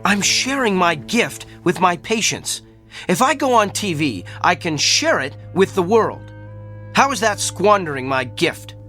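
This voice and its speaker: Vain, fame-seeking doctor, radio drama. High-pitched, very animated, full of himself. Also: male voice, a little slow, soft background music, heavily acted. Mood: cocky, scornful. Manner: cocky, self-important. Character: arrogant, fame-hungry celebrity doctor. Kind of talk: theatrical radio drama acting.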